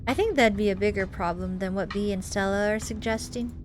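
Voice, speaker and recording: A woman reading a visual novel aloud as a gaming host, in an expressive, theatrical way. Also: medium pitch, brisk. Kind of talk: playthrough reading, narrating and voicing visual novel dialogue with reactions. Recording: music behind the voice. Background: game music.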